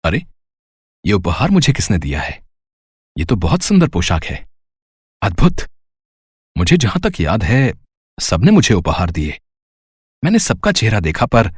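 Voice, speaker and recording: A man voicing a curious, earnest young prince in an animated story, speaking in a wondering, sincere manner. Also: lowish voice, a little slow, pauses often, slightly rough, no echo, clean studio sound, exaggerated voice. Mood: puzzled.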